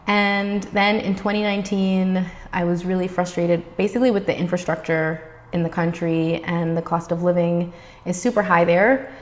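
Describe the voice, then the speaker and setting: Woman vlogger, 30s, from the Bahamas, neutral American-sounding accent, chatty and upbeat, lowish voice, reverb tail. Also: steady tone, normal speed. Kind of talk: casual talk to camera.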